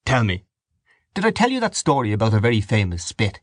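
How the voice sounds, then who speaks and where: Guy doing a proud indignant fella from a novel, emphatic, up and down pitch. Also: wildly swooping pitch, brisk, no echo, clean studio sound. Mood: storytelling. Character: fierce, proud, scornful Irish man, guest at dinner.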